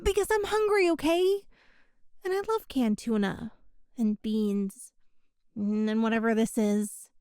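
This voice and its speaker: A young cat-girl burglar, played by a woman, sheepish and flustered, answering back when caught. The voice sits high and the words come slowly and unhurried. It is recorded close and dry, with no room sound. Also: darkish tone, clear, resonant tone, no room sound. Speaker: ASMR roleplay performer. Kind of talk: ASMR audio roleplay.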